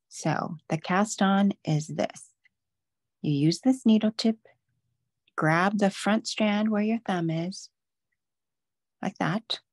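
A woman's voice: lowish voice, a little mellow, no room sound, clean studio sound. A woman patiently walking viewers step by step through knitting stitches in a live online demo. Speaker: knitting instructor.

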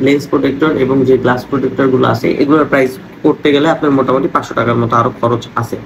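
A young Bangladeshi man with a cold giving a casual explainer to camera, dropping English words and phrases into his speech. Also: mid-range voice. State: has a cold. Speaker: motovlogger presenting gear costs. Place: small room indoors at a table. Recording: clearly noisy room ambience.

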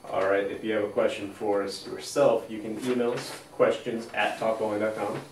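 Low-voiced man, echoey and distant. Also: level tone, moderate pace. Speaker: co-host of a bowling advice show. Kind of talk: casual show hosting and chat. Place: indoors, small studio against a plain backdrop. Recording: roomy echo, distant from mic, faint noise.

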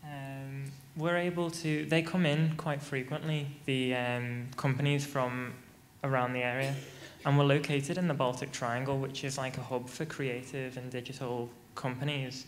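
Teen male student, hesitant panel answers, flat delivery, handheld mic in a reverberant conference hall. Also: mid-range voice, normal speed, hardly any pauses, slight background noise. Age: teens. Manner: hesitant, many ums and likes. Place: large conference stage.

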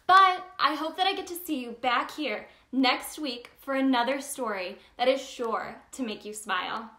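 Woman playing a high, bubbly Tooth Fairy. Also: normal speed, sharp tone, reverberant. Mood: playful, wondering. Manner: exaggerated, sing-song, talks directly to the child listener. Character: cheerful, bubbly Tooth Fairy telling stories to children. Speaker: children's story time host. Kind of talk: animated read-aloud for young children.

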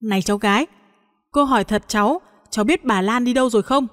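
A woman voicing a calm middle-aged wife and daughter-in-law, gentle and reasoning. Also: a little high, a little fast, natural voice. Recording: long hall-like reverb on the voice.

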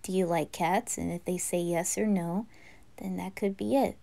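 A woman voicing a cool, knowing young anime boy who explains things in a measured, hesitant way. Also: fairly low pitch, fairly even intonation, gravelly, warm tone. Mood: explaining. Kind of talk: anime fan roleplay.

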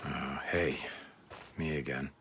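Game lead: tough loner biker. Very low gruff voice, confrontational, faint noise behind. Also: male voice, fairly even intonation, slow pace, fairly bright tone, exaggerated voice. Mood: guarded. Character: surly, tough loner biker drifter, man. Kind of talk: video game cutscene acting.

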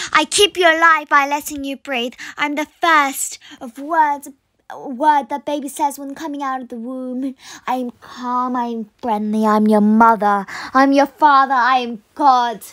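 A woman voicing air as a living element, a proud, gentle, free spirit, in a poetic dramatic monologue of short, deliberate declarations. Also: high voice. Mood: calm, grand.

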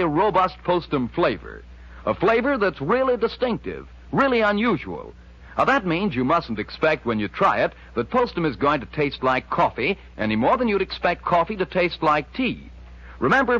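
A man's voice: fairly high pitch, a bit bright, breathy edge, muffled recording. An American man announcing on 1940s radio, polished and emphatic with folksy salesmanship, on an old scratchy recording. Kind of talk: 1940s radio advertising and scripted narration.

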